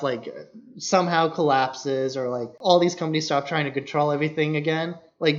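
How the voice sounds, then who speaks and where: A man's voice: on the high side, highs cut off. A man co-hosting a podcast, chatting about films in an informal, opinionated way. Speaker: podcast co-host. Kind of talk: casual film discussion.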